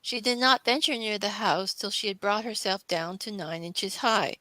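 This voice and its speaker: A woman's voice: mid-range voice, normal speed, pushed and bright, no echo, rough recording. A woman reading scripted storybook narration as the narrator of a classic tale.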